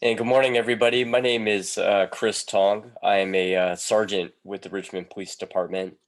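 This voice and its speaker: A man, a police sergeant giving a presentation over a video call, sounding congested from a cold and pausing often with ums. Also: fairly low pitch, flat delivery. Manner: hesitant, many ums between phrases.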